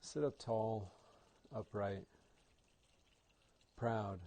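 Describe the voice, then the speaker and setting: A man leading a guided meditation as an instructor, speaking in a calm, gentle and soothing manner. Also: on the low side, extremely slow.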